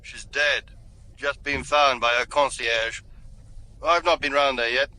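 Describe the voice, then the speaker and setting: An actor playing a local police inspector who speaks in a measured, faintly barbed way in a radio drama. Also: male voice, mid-range voice, steady tone, pushed and bright, low-quality recording. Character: local police inspector on the phone, dryly pointed.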